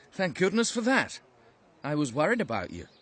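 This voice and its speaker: High, lively, genial guard. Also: male voice, normal speed, full character voice. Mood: genial, relieved. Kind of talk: animated fantasy game voice acting.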